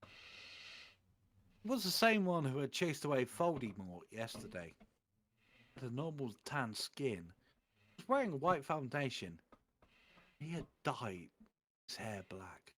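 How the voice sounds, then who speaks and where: A man's voice: medium pitch, big pitch swings, measured pace, pauses often, a bit bright, slight background noise. A man doing a theatrical, comedic reading of amateur fan fiction, putting on voices for the quotes.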